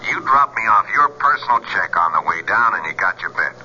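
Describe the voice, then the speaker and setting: A man's voice: sits low, animated, brisk, pushed and bright, heavily acted. A man acting in a radio drama as a crooked, menacing bookie, slick and streetwise, with slangy, bullying talk. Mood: smooth, agreeable. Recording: dull, noisy old radio broadcast.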